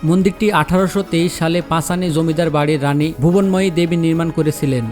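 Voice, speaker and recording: A man narrating a travel vlog in steady, descriptive scripted voice-over. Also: a little high, brisk, music in the background.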